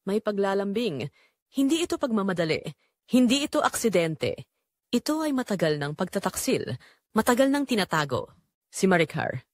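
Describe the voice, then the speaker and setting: A betrayed middle-aged wife and mother, acted by a woman, narrates her own story for an audio drama. Her pitch moves widely through short, deliberate phrases, solemn and quietly bitter. The sound is dry, with very little room in it. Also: dry recording.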